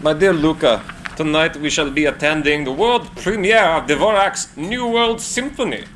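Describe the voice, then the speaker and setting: Male actor as dapper young gentleman inventor, higher register, florid and courtly, period drama reading with faint noise. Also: normal speed, natural voice. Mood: courtly, pleased. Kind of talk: live screenplay reading.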